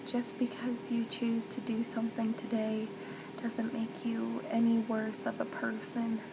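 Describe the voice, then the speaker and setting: Woman playing a hushed, slow-talking comforting mother. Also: completely flat delivery, gravelly. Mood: earnest, encouraging. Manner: soothing, tender, reassuring, hushed with whispery stretches. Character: gentle comforting mother soothing her hurting child. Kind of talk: soft-spoken ASMR roleplay. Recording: loud background noise with low rumble.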